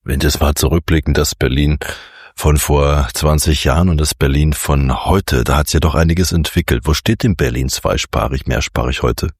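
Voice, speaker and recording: Very deep husky male radio host. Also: no room sound, clean studio sound. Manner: brisk, chatty questioning. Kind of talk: radio interview questions.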